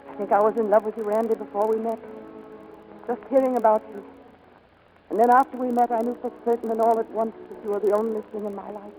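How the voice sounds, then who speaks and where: A woman's voice: a little high, fairly even intonation, quick pace, mellow, lightly acted, poor audio. An actress playing a brave, lovestruck woman aviator, tender and resolute, in 1940s radio drama style. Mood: tender, wistful. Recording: vintage 1940s radio recording, faint music.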